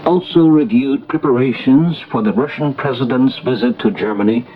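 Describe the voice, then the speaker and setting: A dull, lo-fi shortwave broadcast with faint noise carries a man's voice. He reads an international news bulletin as a radio newsreader. His delivery is formal, scripted and measured. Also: medium pitch. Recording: noisy, muffled shortwave radio broadcast recording.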